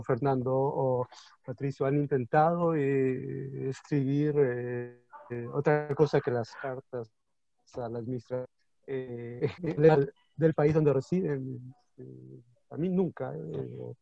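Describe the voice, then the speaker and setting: A man's voice: mid-range voice, creaky, warm tone, no room sound, dull audio. A man musing in a panel discussion over a video call, tossing questions to the others. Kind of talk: casual discussion.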